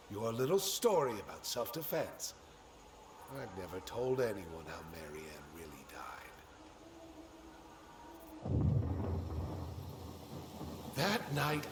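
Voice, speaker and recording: An actor playing a cowardly middle-aged politician in a video game drama, defensive, halting and pleading. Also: male voice, medium pitch, sing-song, roomy sound, noisy background. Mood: threatening.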